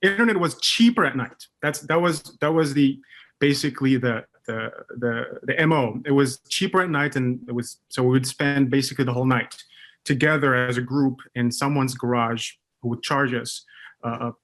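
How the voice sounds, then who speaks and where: A man's voice: medium pitch, normal speed, a bit bright. A man in his thirties from Morocco, speaking as a guest on a panel webcast, with many fillers and restarts. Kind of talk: casual panel chat.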